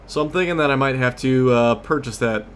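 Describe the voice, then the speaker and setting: A man's voice: medium pitch, a little fast, a bit bright, a little hiss or hum. A man giving casual, rambling gameplay commentary as host of a gaming series, often losing his train of thought.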